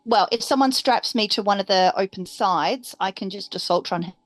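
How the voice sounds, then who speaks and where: A woman's voice: medium pitch, normal speed, no room sound. A woman talking strategy casually and decisively as a player in a tabletop game.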